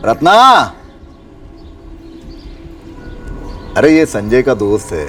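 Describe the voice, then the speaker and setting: An actor playing an elderly, gruff father in a TV crime drama, with an emotional delivery. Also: lowish voice, lively intonation, brisk, faint music behind, close to an everyday voice. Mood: hesitant. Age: older. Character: elderly father, gruff and scolding, easily angered.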